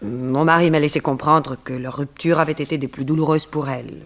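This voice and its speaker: Composed woman suspect, lowish-to-high poised delivery, formal phrasing, radio detective drama. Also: fairly even intonation, a little fast. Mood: cool, confiding. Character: beautiful, poised woman, self-possessed murder suspect. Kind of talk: French radio detective serial acting.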